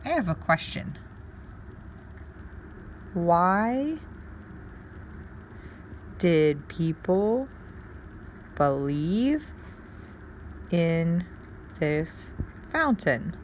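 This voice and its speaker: A woman teacher doing a read-aloud lesson, pausing to wonder aloud and explain words to her pupils. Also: on the low side, big pitch swings, a little hiss or hum.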